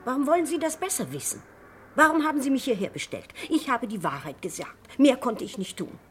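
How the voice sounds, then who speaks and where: A distressed, respectable mother defends herself in a vintage radio crime drama. Her fairly high voice pleads with big swings of pitch, over the faint noise of an old recording. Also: sing-song, brisk. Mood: defensive, distressed. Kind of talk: mid-century German radio crime drama acting.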